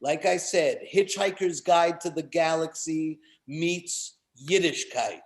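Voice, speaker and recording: Slightly high-voiced male event host. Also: slow pace. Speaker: festival host and performer. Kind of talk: live event introduction, casual chat.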